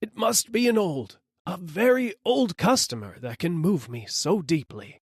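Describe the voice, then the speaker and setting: A man plays an eager, hopeful coal merchant in a storybook tale. He calls out excitedly, pitched high and very animated, with a dry studio-like sound. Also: a little slow, breathy edge, no echo, exaggerated voice. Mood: excited wondering. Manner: excitable, calling out questions. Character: eager, hopeful male coal dealer calling out.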